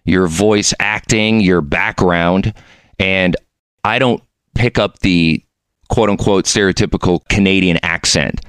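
A man's voice: low-pitched, a little slow, a bit bright, smooth, no echo. A man from Mexico hosting a podcast, chatting casually and joking as he talks with his guest. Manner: chatty, jokey, rambling. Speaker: podcast host. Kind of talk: casual interview chat. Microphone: studio mic.

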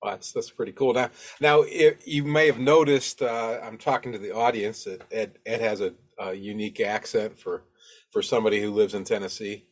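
A man's voice: on the low side, fairly even intonation, moderate pace. An older man hosting a casual interview, easygoing and a bit hesitant, given to chuckling. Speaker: podcast host.

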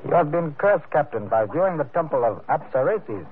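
Solemn polite informant in old radio play, highish voice swinging up and down, very formal wording. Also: male voice, sing-song. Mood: solemn, cryptic. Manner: formal, ornate, deliberate phrasing. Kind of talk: old-time radio drama acting. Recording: noisy, narrow-band old radio recording.

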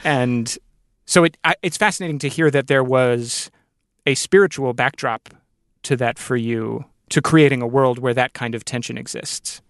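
A man hosting a thoughtful podcast interview, speaking in deliberate, measured phrases with pauses. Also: mid-range voice, no echo, clean studio sound.

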